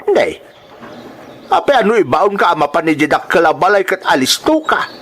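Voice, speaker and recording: A man's voice: sits high, big pitch swings, brisk, a bit bright, husky, full character voice. A man playing a stern, suspicious farmer father in a radio-style drama, scolding and questioning, with an Ilocano accent.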